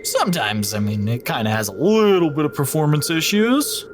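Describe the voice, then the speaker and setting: A man's voice: animated, normal speed, noisy background, lightly acted. An actor playing a brash, cocky young man sneaking onto a government base, shrugging off any worry. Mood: joking. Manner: brash, dismissive, jumpy pitch. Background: music.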